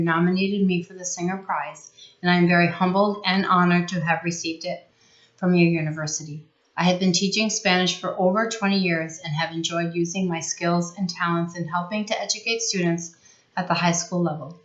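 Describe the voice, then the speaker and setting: A woman's voice: on the low side, fairly bright tone. Woman reading earnestly in an echoey room. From New York State, US. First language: Italian. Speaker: high school Spanish teacher. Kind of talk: prepared award acceptance statement. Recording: echoey room, dull lo-fi sound.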